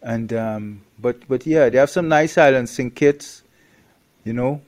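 Man from trinidad being interviewed, blunt, lots of um and restarts, mid-pitched voice. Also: darkish tone. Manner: blunt, halting with many restarts. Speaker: guest. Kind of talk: casual interview talk.

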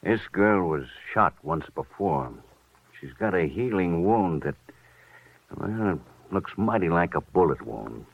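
An actor playing a rueful, matter-of-fact coroner in a 1940s radio drama, clinical and subdued. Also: male voice, low-pitched, expressive, unhurried, gravelly, exaggerated voice. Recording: tinny old radio recording.

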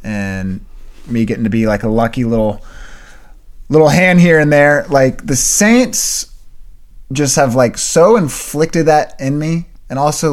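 A young man co-hosting a faith podcast, talking in a relaxed way with lots of "like". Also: mid-range voice. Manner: relaxed, many "like" fillers. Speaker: podcast co-host. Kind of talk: casual chat.

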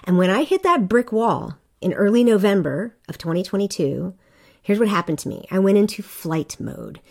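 A woman's voice: medium pitch, big pitch swings, moderate pace, vocal fry. A middle-aged woman from Korea hosting a solo podcast, talking candidly and conversationally with fillers and restarts. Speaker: podcast host.

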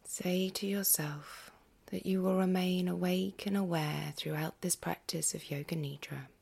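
A woman guiding a yoga nidra meditation in a hushed, soothing way, gently and unhurriedly, with a breathy, husky voice that often nears a whisper. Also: on the low side, fairly even intonation. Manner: gentle, unhurried, with long pauses.

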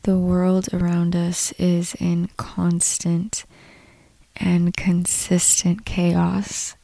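A woman leading a guided meditation in a soft, breathy, calm voice, unhurried, with long pauses between short phrases. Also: lowish voice, dry recording. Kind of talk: slow guided meditation narration.

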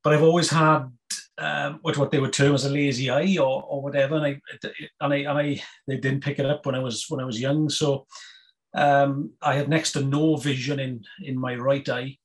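A Welsh man in his fifties, a guest on a podcast, talking casually with many pauses, fillers and restarts. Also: medium pitch, flat delivery. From Wales. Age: 50s. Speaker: guest, snooker player and federation chairman.

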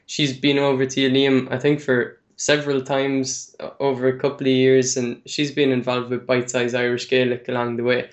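A man's voice: medium pitch, completely flat delivery, normal speed, few pauses. A man hosting a podcast interview, asking questions in a friendly, chatty way. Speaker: podcast host.

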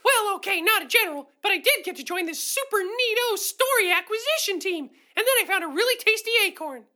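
A tiny, eager animal chatterbox, extremely high and bright. The delivery is cartoonish, childlike and boastful, bouncing all over in pitch for a children's adventure drama. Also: female voice, lively intonation, a little fast, exaggerated voice. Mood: excited, boastful.

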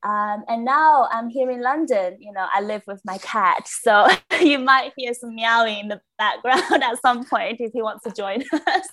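A woman in her late twenties from China, a podcast guest chatting in an upbeat way, laughing often and filling pauses with "um". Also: a little high, a bit bright. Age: late 20s. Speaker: podcast guest, business founder. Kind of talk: casual interview chat.

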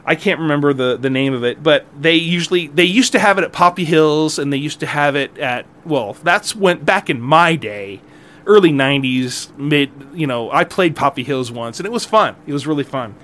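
Middle-aged male podcast host, slightly high-pitched, loose and rambling, jokey and self-deprecating. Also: expressive, normal speed, fairly bright tone. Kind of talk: casual solo podcast chat.

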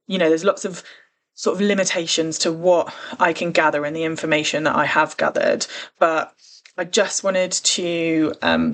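Earnest woman hosting a podcast. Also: lowish voice. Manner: earnest, conversational, careful. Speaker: podcast host. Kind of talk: true crime podcast narration.

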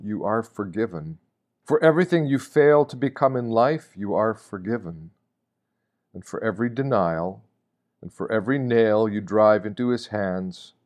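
A man reading a scripted devotional meditation like a sermon, solemn and deliberate, posing rhetorical questions. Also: lowish voice, a little mellow. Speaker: reader of a Lenten meditation.

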